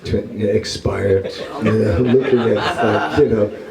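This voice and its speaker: An older man giving a relaxed scripture class to a small group, talking in a conversational, wry way and chuckling at his own jokes. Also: on the low side, unhurried, creaky, a loud crowd. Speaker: monk lecturer. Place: small temple room with seated listeners. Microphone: stand mic close in front. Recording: roomy echo of a small temple room.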